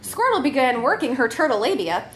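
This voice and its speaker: A woman's voice: high-pitched, very animated, brisk, crowd noise. A woman reading a comic story aloud as a performer, giggly and prone to breaking up as she goes. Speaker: comedy troupe member reading a story.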